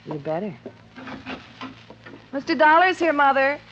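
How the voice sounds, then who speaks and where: An actress playing a pert, flirty, youthful younger sister in a 1950s radio drama, breezy and teasing. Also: female voice, high voice, very animated, a little slow. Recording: dull, faintly noisy vintage radio recording.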